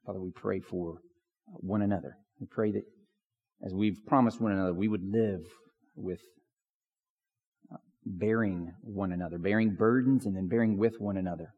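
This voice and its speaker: Hoarse pastor in a reverberant church. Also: male voice, low voice, normal speed, pauses often, phone-quality sound. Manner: filler-heavy, restarts often. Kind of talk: prayer and sermon. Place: church sanctuary.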